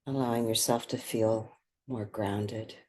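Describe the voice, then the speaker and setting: A person guiding a meditation in a soft, breathy voice, speaking gently and soothingly with unhurried pauses. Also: mid-range voice, steady tone. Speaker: meditation guide. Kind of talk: guided meditation.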